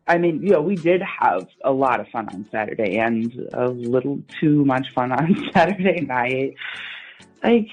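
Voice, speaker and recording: A woman's voice: very deep voice, breathy edge, rough recording. Female caller, informal and earnest, conversational delivery with music underneath. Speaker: radio show caller. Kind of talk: casual phone conversation.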